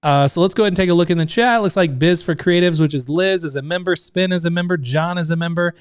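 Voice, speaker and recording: A man's voice: on the high side, fast talker, clear, resonant tone, dry recording, studio quality. A man in his thirties, a community founder, hosting a casual livestream from his home office, talking in a chatty way with many fillers while his voice sounds strained, as if about to give out. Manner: chatty, many fillers, reads out chat comments. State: voice strained, close to losing it.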